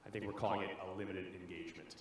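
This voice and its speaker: A man presenting a show on stage, enthusiastic and a little halting. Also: deep voice, level tone. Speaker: event presenter. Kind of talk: formal stage announcement. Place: large theater. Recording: echoey theater, faint ambience.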